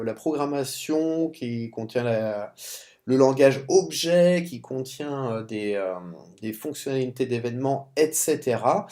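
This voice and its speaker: Man with a cold, halting French tutorial. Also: medium pitch, warm tone. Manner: halting, stumbles and restarts words. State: has a cold. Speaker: software tutorial presenter. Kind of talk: screen-recorded coding tutorial.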